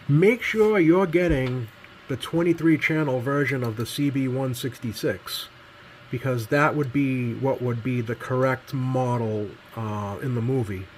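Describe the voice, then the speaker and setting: Male hobbyist presenter, mid-range voice, informal explainer delivery with hesitant phrasing, audible background noise. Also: mid-range voice. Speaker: radio repair hobbyist presenting. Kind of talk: casual explanatory repair vlog.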